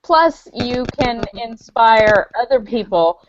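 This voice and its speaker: Woman author guest, sincere and upbeat, higher pitch, dull lo-fi call sound. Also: animated, takes it slowly, background noise. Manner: earnest, encouraging. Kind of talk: casual interview talk. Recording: dry, dull lo-fi call audio.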